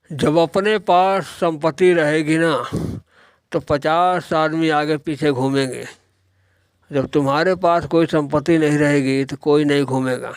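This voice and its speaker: Man acting an older cartoon father, admonishing, worldly-wise, clear resonant tone, dry recording. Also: a little high, no room sound. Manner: lecturing, admonishing. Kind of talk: Hindi moral-story cartoon dialogue.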